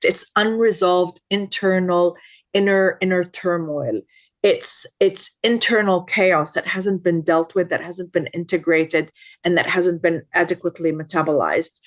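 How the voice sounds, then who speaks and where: A woman in her fifties from Lebanon, speaking as a podcast guest. Her voice sits a little low. She talks with earnest insistence and stacks up repeated words for emphasis. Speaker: guest expert. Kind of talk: podcast interview.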